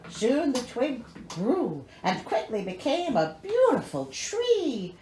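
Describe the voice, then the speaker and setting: A woman's voice: fairly high pitch, big pitch swings, slow pace, darkish tone, a little hiss or hum. A woman reading a storybook aloud as host, with theatrical delivery and sarcastic, incredulous comic asides. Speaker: host reading a fairy tale with commentary. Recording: echoey, roomy sound.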